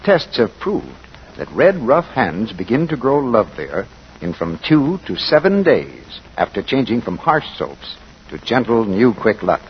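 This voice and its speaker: Low, very animated 1940s radio pitchman. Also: measured pace. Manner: persuasive, neighborly sales patter. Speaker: radio commercial announcer. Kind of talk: 1940s scripted radio advertisement. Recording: muffled, noisy 1940s radio broadcast.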